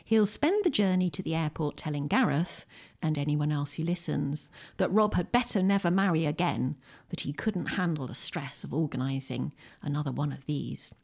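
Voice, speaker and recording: A woman's voice: sits low, expressive, normal speed, vocal fry, few pauses, darkish tone. A British woman talking chattily and emphatically about books as a guest, sometimes reading aloud. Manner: enthusiastic, emphatic. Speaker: guest writer, poet. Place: a room in a home.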